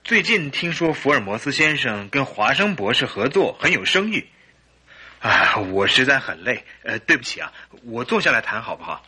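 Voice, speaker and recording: Sharp, agitated young gentleman. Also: mid-range voice, big pitch swings, normal speed, slightly rough, heavily acted. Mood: flustered, anxious. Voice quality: sharp, strained. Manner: agitated, stammering, hesitant. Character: anxious, impatient gentleman client of about thirty. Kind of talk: Chinese radio drama acting.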